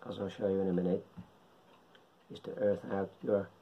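A middle-aged man with a husky voice gives an informal beginners' tutorial, explaining haltingly in short phrases at home. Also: low-pitched, little pitch movement, muffled recording, a little hiss or hum. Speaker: hobbyist radio instructor. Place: home living room.

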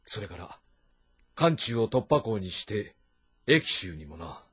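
An actor playing a shrewd middle-aged warlord of ancient China, husky and deliberate, in a historical video game. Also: male voice, fairly low pitch, very animated, a bit bright, full character voice. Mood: pensive. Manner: authoritative, deliberate, lordly. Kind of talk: dramatic Japanese historical game voice acting.

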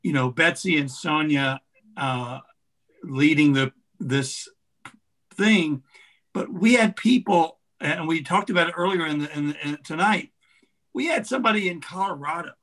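A middle-aged man speaks earnestly on a video call in a bright, forceful voice on the higher side. He takes his time, leaning on key words as he reflects. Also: measured pace, low-quality recording. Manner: earnest, emphatic. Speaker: theatre director. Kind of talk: reflective closing remarks. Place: room indoors on a video call. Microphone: video call computer mic.

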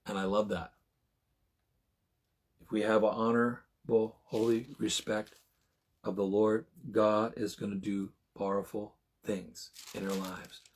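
A man in his sixties giving an informal Bible teaching as a preacher, with a husky voice and a conversational, halting delivery that often repeats and rephrases. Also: lowish voice, flat delivery. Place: small home room, indoors.

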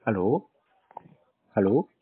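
A man baiting a phone scammer, unhurried and playing dumb, answering in short replies. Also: lowish voice, big pitch swings, husky. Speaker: scam baiter. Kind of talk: phone call. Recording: tinny phone line.

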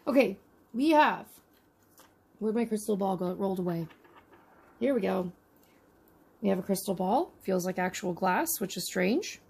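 A woman's voice: mid-range voice, animated, moderate pace, vocal fry, pauses often, a little mellow, breathy edge. A woman casually narrating a miniature unboxing with chatty, wry asides. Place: indoors at a tabletop.

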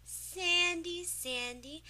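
A woman voicing a vain, popular teenage girl in a cartoon, whiny and dramatic with drawn-out words. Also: very high-pitched, bright, forceful tone, exaggerated voice. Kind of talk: exaggerated cartoon acting.

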